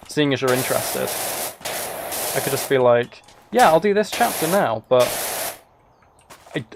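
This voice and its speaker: A man's voice: medium pitch, background noise. A man live streaming a video game, chatting casually and thinking aloud in a rambling way. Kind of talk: casual chat while playing a video game.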